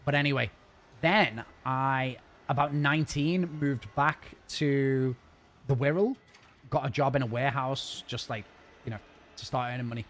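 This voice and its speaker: A man's voice: mid-range voice, fairly bright tone. Chatty man streaming. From north of England. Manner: chatty, rambling, fast-talking. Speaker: game streamer. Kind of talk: casual live-stream chat. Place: home gaming setup.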